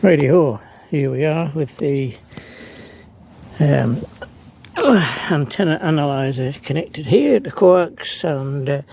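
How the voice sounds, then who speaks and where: A man with a mellow, slightly higher-than-average voice talks through a hands-on demonstration in a very unhurried way. He is hesitant and thinks aloud, and his voice often settles into a gravelly creak. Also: expressive. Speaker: amateur radio hobbyist demonstrating his setup. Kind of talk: unscripted how-to vlog narration.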